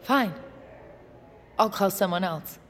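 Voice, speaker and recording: A woman's voice: mid-range voice, slow, a bit bright. A woman acting the part of a defiant young prisoner, sardonic and combative, in an audio drama. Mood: desperate, urgent. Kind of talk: naturalistic audio fiction acting.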